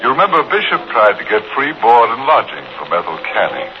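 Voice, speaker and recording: An actor playing a wry, mock-sinister host of a 1940s radio mystery show. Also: male voice, lowish voice, huge pitch swings, fairly quick. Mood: ghoulish amusement. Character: sardonic, macabre male mystery-show host. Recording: dull, noisy 1940s radio broadcast.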